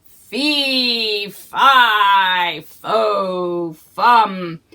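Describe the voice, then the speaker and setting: A woman voicing a huge, hungry giant in a storybook, booming out slow threats. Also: bright, forceful tone, heavily acted. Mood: menacing.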